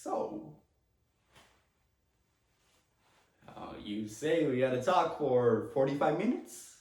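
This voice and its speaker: A man performing a dramatic monologue as a talkative, tender immigrant father with a Latin American Spanish accent, rambling haltingly with small chuckles. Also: medium pitch, animated, a little mellow, lightly acted. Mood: nervous, chatty. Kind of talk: dramatic monologue, stage acting. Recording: echoey room.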